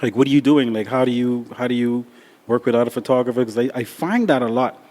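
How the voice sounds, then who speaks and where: A man hosting a photography podcast, chatting in a relaxed, reflective way. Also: mid-range voice, fast. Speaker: podcast host. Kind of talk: casual interview chat.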